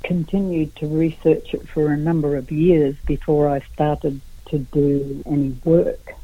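A middle-aged Australian woman, a guest artist in a radio interview, speaking slowly and haltingly with many pauses and fillers. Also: deep voice, level tone, no echo. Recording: tinny phone line.

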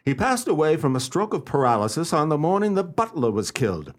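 Radio mystery lead: commanding, polite gentleman sleuth, played by a man, higher-pitched voice, highly animated, formal phrasing. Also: brisk. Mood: calm, matter-of-fact. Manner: formal, decisive, theatrical. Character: brisk, confident gentleman detective, courteous and commanding. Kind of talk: radio play acting.

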